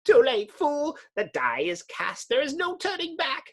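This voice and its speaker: A man's voice: extremely high pitch, huge pitch swings, a little slow, voice pushed up, exaggerated voice. A man voicing a mad, boastful mole-like comic-book villain in a grandiose, taunting, theatrical manner. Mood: menacing triumph. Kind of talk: dramatic comic book reading.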